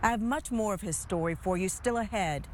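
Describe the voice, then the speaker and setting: A woman's voice: medium pitch, fairly quick, darkish tone, slight background noise. An American woman anchoring a TV news special, reading scripted narration.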